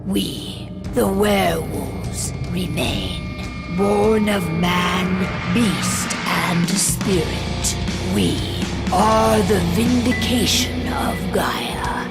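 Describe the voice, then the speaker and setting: Grave, very slow werewolf lore-teller. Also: female voice, on the low side, lively intonation, loud music behind the voice, heavily acted. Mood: grave, defiant. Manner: grave, portentous, deliberate. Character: solemn female werewolf narrator of ancient lore. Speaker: narrator. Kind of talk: slow dramatic scripted game prologue narration.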